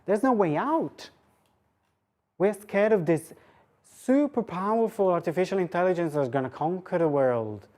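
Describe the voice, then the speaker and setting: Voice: medium pitch, expressive, moderate pace, warm tone. A woman lecturer giving an academic public talk, mixing scholarly phrasing with informal asides.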